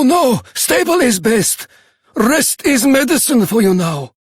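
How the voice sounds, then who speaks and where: Game elder: courteous, nostalgic grandfather, husky, animated intonation, broken English. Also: very high voice, no room sound, studio quality, heavily acted. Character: kindly old village grandfather, nostalgic and courteous.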